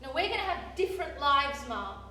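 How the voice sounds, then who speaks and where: A woman's voice: high voice, very animated, fairly quick, pushed and bright, full character voice. A woman playing a driven, stubborn young woman who runs a garage, emphatic and self-assured, in a stage radio play. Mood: defiant. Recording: echoey theatre, distant mic, music underneath.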